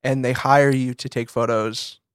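An American man with a mid-pitched voice talks casually as a podcast co-host. The sound is dry and close, and he explains things like an animated storyteller, loose and slangy. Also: unhurried, clean tone, dry recording.

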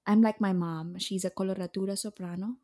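A young woman, an opera soprano, talking casually, pausing often with fillers and restarts. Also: medium pitch, fairly even intonation, dark tone. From Philippines. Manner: hesitant, many fillers and restarts, occasional laughs. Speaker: opera singer, soprano guest. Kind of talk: casual interview chat.

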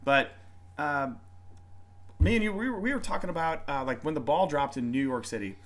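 A man co-hosting a casual podcast, rambling and joking. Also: a little high. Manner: rambling, joking, with quick put-on voices. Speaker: podcast co-host. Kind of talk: casual chat.

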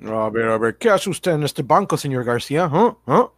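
Radio comedy: male actor as officious cop, medium pitch, mocking and pushy, slips English into his Spanish. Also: sing-song, normal speed, natural voice. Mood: sly, mocking. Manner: mixes English into Spanish, sardonic. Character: smug, officious New York policeman, bullying a foreigner. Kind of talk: comic radio drama acting.

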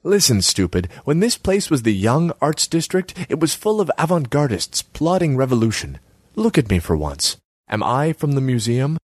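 A man's voice: medium pitch, big pitch swings, moderate pace, breathy edge, no echo, studio quality, pitched above the performer's own voice. A man putting on a haughty young woman artist. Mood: haughty lecturing. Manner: scornful, lecturing, self-important.

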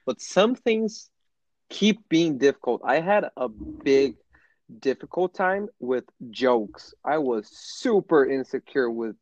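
A man from Brazil interviewing a guest in casual conversation, fluent and relaxed, swearing freely. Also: medium pitch, slow pace, no room sound, highs cut off.

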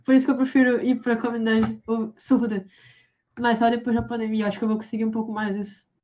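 A woman's voice: a little high, little pitch movement, quick pace, darkish tone, a little hiss or hum. A young woman with a Brazilian Portuguese accent answering interview questions in a hesitant way, with many fillers. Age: young adult. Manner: hesitant, frequent fillers and restarts. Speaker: interviewee, university student.

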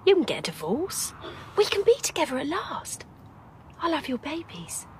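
A woman's voice: sits high, huge pitch swings, moderate pace, a little mellow, slight background noise. An actress playing a defiant, obsessively lovesick young working-class woman in a British radio drama, blunt, colloquial and insistent. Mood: pleading, possessive.

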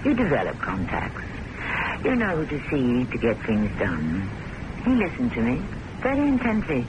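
A woman's voice: a bass voice, wildly swooping pitch, normal speed, highs cut off, heavily acted. An actress playing a resolute, accusing woman, dramatic and sarcastic, in vintage radio drama style on a noisy old recording. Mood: bitter, insistent. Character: accusing, resolute woman who worships and defies her boss.

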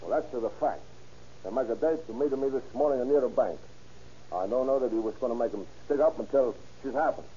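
A man's voice: mid-range voice, a little fast, warm tone, a little hiss or hum, natural voice. An actor playing a surly wounded male bank robber suspect in an old radio drama, talking in sullen tough-guy slang. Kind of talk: 1930s radio acting. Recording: dull old radio recording.

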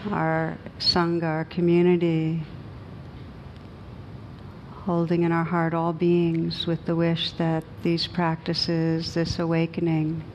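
A woman meditation teacher leading a guided meditation in a gentle, soothing, unhurried manner with long pauses. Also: lo-fi sound. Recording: noisy recording with low rumble.

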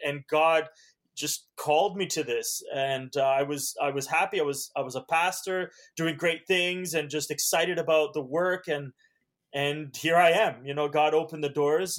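A man who grew up in Canada with Hungarian as his first language, speaking as an evangelist in an earnest, halting way with many restarts. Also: a little high. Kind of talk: podcast interview.

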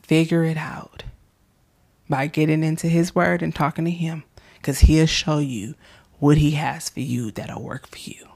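Woman podcaster losing her voice, gravelly and husky, soft and affectionate, slips into whispers. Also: low-pitched, steady tone, unhurried. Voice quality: hoarse, gravelly. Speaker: podcast host. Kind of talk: casual faith-based encouragement.

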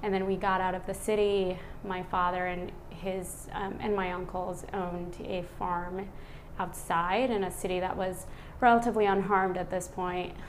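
A woman in her thirties from Iraq, a physician speaking as a podcast guest. She talks in a relaxed, reflective way, with many "um" and "like" fillers. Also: lowish voice, moderate pace, slight background noise, low-quality recording. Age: 30s. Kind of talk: casual conversational interview.

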